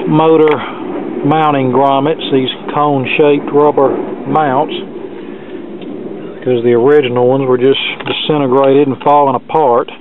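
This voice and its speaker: A man's voice: mid-range voice, slight background noise. A man narrating a hands-on repair in a casual, plainspoken, matter-of-fact way, as the host of an electronics repair video. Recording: narrow phone-like lo-fi sound.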